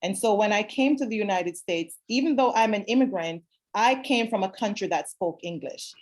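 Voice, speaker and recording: A woman's voice: medium pitch, lively intonation, normal speed. A woman teacher from Jamaica speaking on a video call, earnestly explaining classroom methods and repeating key phrases. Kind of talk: zoom talk answering questions.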